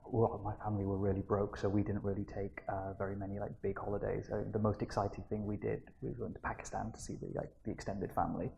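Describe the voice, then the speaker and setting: A man's voice: fairly low pitch, completely flat delivery, quick pace, vocal fry, hardly any pauses, warm tone, highs cut off, a little hiss or hum. A young man, chatting as an interview guest in a rambling way, full of fillers and restarts. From Manchester, England. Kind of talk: casual conversational interview.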